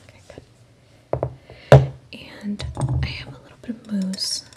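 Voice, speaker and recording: A woman's voice: mid-range voice, little pitch movement, measured pace, dark tone. A young woman whispering close to the microphone in a casual, reassuring ASMR roleplay as a hairdresser. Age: young adult. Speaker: ASMR creator playing a hairdresser. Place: small room indoors at home. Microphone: close desktop condenser microphone.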